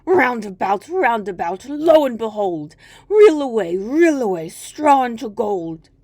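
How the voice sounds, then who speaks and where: A woman's voice: on the high side, big pitch swings, unhurried, dark tone, dry recording, studio quality. A woman voicing a droll little male hobgoblin, a sly bargainer with an emphatic, singsong manner, in a storybook reading. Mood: gleeful singsong.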